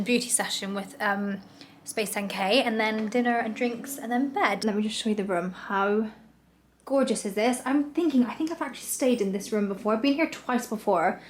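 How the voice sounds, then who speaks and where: A woman's voice: fairly high pitch, a little hiss or hum. Woman vlogger in her thirties, chatty and gushing, slight head cold, roomy echo. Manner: chatty, gushing, filler-heavy. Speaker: lifestyle vlogger. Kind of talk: casual vlog chat. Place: hotel room indoors. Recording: echoey room.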